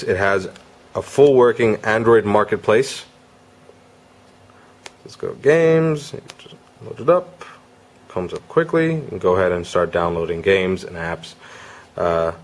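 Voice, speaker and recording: Guy with a lowish voice doing an off the cuff gadget demo, stops and rewords stuff. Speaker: product reviewer. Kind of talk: casual unscripted product demo.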